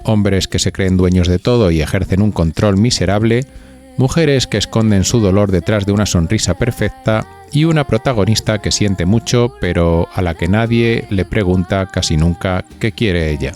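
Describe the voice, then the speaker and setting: A man with a fairly low voice and an accent from Spain hosts a gossip podcast. He narrates from a script in a chatty, playful way, confiding directly in the listener. Music plays lightly behind him. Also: normal speed, a little mellow. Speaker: podcast host.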